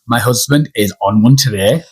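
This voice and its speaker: A man's voice: medium pitch, moderate pace, slightly rough. A man from Yorkshire co-hosting a podcast, chatting in a camp, dramatic way and laughing often. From Yorkshire, England. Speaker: podcast co-host. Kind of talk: casual chat.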